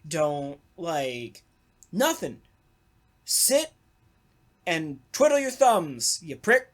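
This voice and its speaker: A podcast host talking casually in an animated, sarcastic way, with some swearing. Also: medium pitch, extremely slow. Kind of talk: casual talkback chat.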